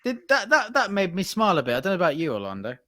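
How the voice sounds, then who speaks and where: A man hosting a wrestling news podcast, talking in a rambling, halting way with plenty of fillers. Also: high-pitched, huge pitch swings, a bit bright, no echo, studio quality. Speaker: podcast host. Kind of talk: casual news chat.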